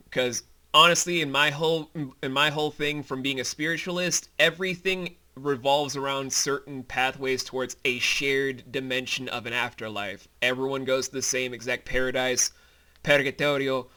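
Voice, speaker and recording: A man who directs a fantasy audio series, co-hosting a casual behind-the-scenes commentary in an animated, colloquial way. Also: medium pitch.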